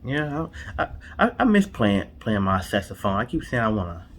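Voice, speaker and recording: A man's voice: on the low side, big pitch swings, moderate pace. A man co-hosting a storytime show in casual couple chat, colloquial, often repeating himself and saying "you know".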